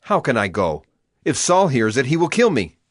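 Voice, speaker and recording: A man's voice: medium pitch, sing-song, normal speed, no echo, studio quality. An actor playing a grave, resolute male prophet with a formal manner in a dramatized Bible story. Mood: worried. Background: music.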